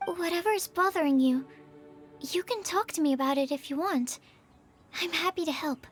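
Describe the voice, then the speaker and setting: A woman voicing a gentle, curious and polite young woman in a video game, with a considerate, slightly tentative manner. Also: very high voice, normal speed, faint music behind. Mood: kind, concerned.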